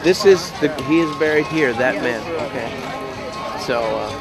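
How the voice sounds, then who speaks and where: A man with a fairly high voice talks off the cuff as a local show MC. He rambles and jokes, often halting. He is recorded outdoors, with music playing behind him. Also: lively intonation, vocal fry, over a noisy crowd, poor audio. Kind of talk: casual interview chat outdoors. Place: outdoors at a park gravesite.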